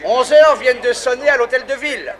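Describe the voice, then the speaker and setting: A man's voice: very high-pitched, a little fast, a bit bright. An actor playing a male auctioneer who declaims formally to a crowd in a radio drama. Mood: declaiming. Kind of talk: 1950s radio drama acting.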